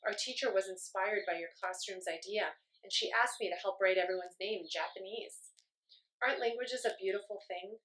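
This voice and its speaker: A woman's voice: mid-range voice, moderate pace, fairly bright tone, close to an everyday voice, rough recording. A woman reading the lines of a friendly young schoolchild in an earnest storybook voice.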